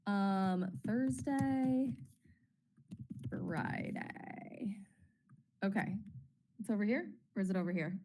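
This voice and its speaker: A woman in her thirties hosting a webinar in a bubbly, chatty way, her voice slightly raspy as she gets over a cold. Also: mid-range voice, takes it slowly, long pauses, mellow. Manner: bubbly, chatty, many ums. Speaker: webinar host, marketing officer. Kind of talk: casual presenting on a webinar.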